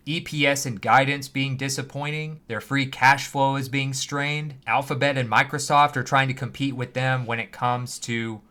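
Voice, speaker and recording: A man's voice: mid-range voice, sharp tone. A man giving conversational finance commentary as a host, in an opinionated, plain-spoken, emphatic way. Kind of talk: conversational stock market commentary.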